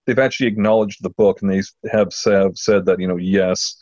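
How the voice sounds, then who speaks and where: A man with a fairly low voice talks as a podcast host in a casual, enthusiastic way. He stops and restarts his phrases often. The recording is slightly dull and lo-fi, with room echo around him. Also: fairly even intonation, darkish tone. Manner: enthusiastic, halting delivery with repeated words. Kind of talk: casual chat. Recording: muffled lo-fi audio in a roomy space.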